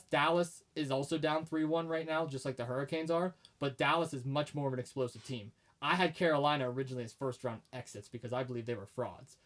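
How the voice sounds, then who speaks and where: A man, co-hosting a casual sports podcast, making emphatic, opinionated predictions while starting to lose his voice. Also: mid-range voice. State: losing his voice. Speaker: podcast co-host.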